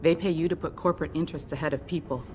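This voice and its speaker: A woman's voice: sits low, fairly even intonation, rapid-fire, background noise, close to an everyday voice. An actress playing a frank, approving female colleague in a video game, with a knowing manner. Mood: approving, wry.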